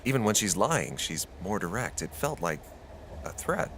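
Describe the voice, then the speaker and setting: An actor with an American accent playing an idealistic, dogged radio journalist in a radio play, earnest and impassioned. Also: male voice, fairly low pitch, sing-song, normal speed, a bit bright, slight background noise. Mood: brooding narration. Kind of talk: radio play acting and first-person narration.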